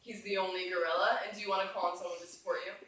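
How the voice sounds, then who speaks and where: A woman's voice: lowish voice, steady tone, rapid-fire, fairly bright tone. A woman teacher leading a classroom read-aloud discussion, encouraging and prompting with questions. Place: indoors, classroom. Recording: echoey room from far off, faint noise.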